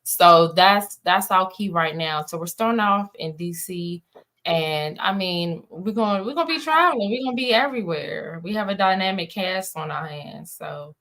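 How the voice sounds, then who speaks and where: Lady guest on an interview, sorta low voice, just chatting casually. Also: normal speed, fairly bright tone. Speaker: stage play writer and director, guest.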